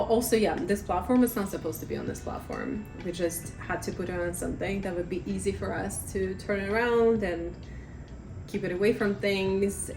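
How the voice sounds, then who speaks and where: A woman in her thirties chatting casually in a vlog at her table at home, rambling and self-deprecating, her voice slightly raspy from talking too much. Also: medium pitch. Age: 30s. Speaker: vlogger.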